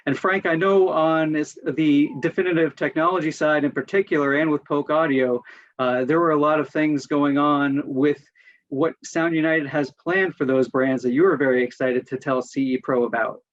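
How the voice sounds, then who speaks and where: A man's voice: on the high side, fairly even intonation, normal speed, muffled recording. A man interviewing a guest for a trade magazine, prompting him in a conversational way. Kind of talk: interview questions.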